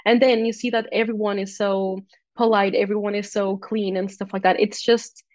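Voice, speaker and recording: A talkative young woman with a Portuguese accent answers in a relaxed interview, restarting her sentences and filling gaps as she goes. Her voice sits mid-range and sounds close and dry, a little dull and lo-fi. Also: mid-range voice, normal speed, no echo. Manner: talkative, many restarts and fillers, laughs easily. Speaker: guest athlete. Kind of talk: casual interview chat.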